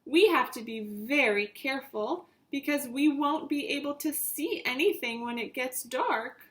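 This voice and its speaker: Lady doing an eager little martian boy from a kids storybook, high voice, slow and expressive. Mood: cautious. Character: eager young Martian boy playing with his dog. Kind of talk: children's storybook read-aloud.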